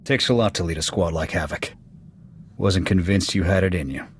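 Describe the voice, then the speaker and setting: An actor playing a gruff, proud military officer in a video game, terse and clipped in delivery. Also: male voice, sits low, moderate pace, a little hiss or hum, lightly acted. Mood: grudging respect. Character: gruff, proud male military sniper officer, loyal but hot-tempered. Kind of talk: video game dialogue acting. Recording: music behind the voice.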